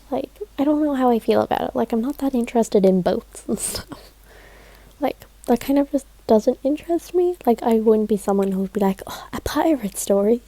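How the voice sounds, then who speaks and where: A woman's voice: fairly high pitch, gravelly, no room sound, low-quality recording. A young woman vlogging casually about her week of reading, rambling with plenty of fillers and small laughs. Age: young adult. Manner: chatty, rambling, lots of "like" fillers, soft laughs. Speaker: book vlogger. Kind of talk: casual reading vlog chat.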